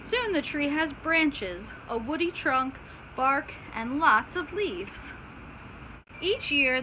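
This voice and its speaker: High, animated woman reading to children. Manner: instructive, asks listeners questions, simple explanations. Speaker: teacher reading aloud to children. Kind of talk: children's read-aloud with explanatory asides. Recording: clear background noise.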